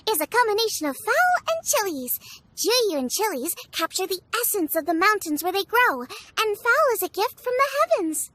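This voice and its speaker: A woman's voice: very high-pitched, a bit bright, dry recording, full character voice. A woman voicing a childlike, eager, bubbly girl character in a video game, with music behind her.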